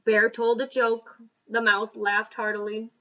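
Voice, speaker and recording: A woman reading a children's story aloud as a school reader, expressive, with dramatic emphasis and pauses. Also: a little high, distant mic, muffled recording.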